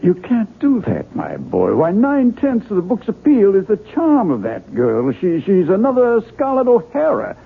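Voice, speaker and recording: An actor playing a persuasive, avuncular book publisher in a 1970s radio drama, raspy and theatrical, coaxing with emphasis. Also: male voice, high-pitched, huge pitch swings, moderate pace, hardly any pauses, full character voice. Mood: emphatic, pleading. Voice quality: raspy, gravelly. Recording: muffled, narrow-band vintage radio recording.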